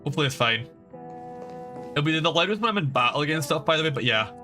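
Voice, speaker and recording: A man's voice: a little high, expressive, fast talker, sharp tone. A man giving casual livestream game commentary in a rambling, informal, emphatic way. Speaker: game streamer. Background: game music.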